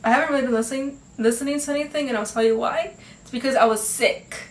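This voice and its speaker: A woman's voice: high voice, fast. A teenage girl hosting her own podcast, chatting casually with lots of fillers, sounding a bit nasal from congestion. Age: teens. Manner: chatty, upbeat, many fillers and laughs. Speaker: podcast host. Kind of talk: casual chat. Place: home room. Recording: echoey home room, faint ambience.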